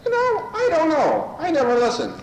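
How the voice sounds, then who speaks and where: A man's voice: very high-pitched, wildly swooping pitch, normal speed, full character voice. A man playing a cranky, scatterbrained judge with theatrical delivery in an amateur radio comedy. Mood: weary. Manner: theatrical, wandering off mid-ruling. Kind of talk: amateur old-time radio comedy acting. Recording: echoey room, faint noisy amateur tape.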